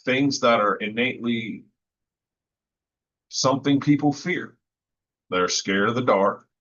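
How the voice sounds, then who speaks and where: A man with a low voice talking casually about recording vocals, thoughtful and rambling with lots of fillers and restarts. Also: bright, forceful tone, dull audio. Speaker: musician discussing vocal recording. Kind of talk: casual podcast chat.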